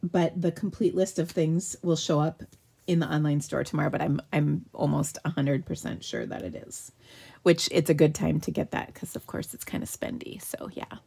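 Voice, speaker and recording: A woman's voice: low voice, fairly even intonation, normal speed, creaky, warm tone, slightly rough. A woman hosting a live card-making tutorial at her craft table, chatting in an upbeat way and answering viewer questions as she works.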